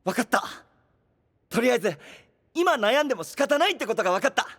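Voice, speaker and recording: A male voice actor playing an open-hearted, energetic young man with a casual, boyish manner in an anime-style audio drama. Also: very high-pitched, quick pace, long reverb tail, exaggerated voice. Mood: reassuring.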